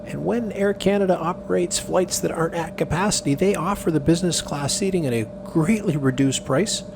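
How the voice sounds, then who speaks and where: Man, travel vlog host, fairly high voice, casual scripted narration, steady engine noise behind. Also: brisk, vocal fry. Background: aircraft and vehicle hum.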